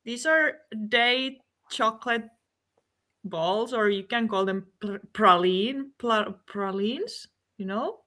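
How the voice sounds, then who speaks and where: A woman's voice: a little high, slow, pushed and bright. A woman from Finland chatting on a video call, easygoing and a bit hesitant. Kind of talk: casual video call chat.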